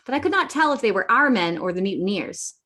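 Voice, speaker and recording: A woman's voice: medium pitch, animated, fast talker, sharp tone. A woman playing an earnest, plucky young boy who narrates a sea adventure in a radio play. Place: indoors, remote online reading.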